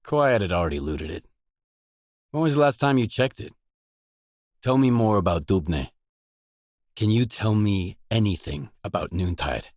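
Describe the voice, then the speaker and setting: Low-voiced, terse stalker hero. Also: male voice, lively intonation, a little slow, long pauses, a little mellow, dry recording, clean studio sound. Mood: matter-of-fact, guarded. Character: gruff, matter-of-fact male mercenary stalker, game hero. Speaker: video game protagonist. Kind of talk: video game dialogue voice lines.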